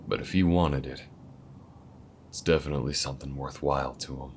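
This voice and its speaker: A calm, weathered alien gunslinger, voiced by a man with an extremely deep, rumbling voice that often drops to a soft near-whisper. He talks in an easygoing, laid-back drawl. A faint low rumble sits under the recording. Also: a little fast. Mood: steady, easygoing. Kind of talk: audio roleplay acting.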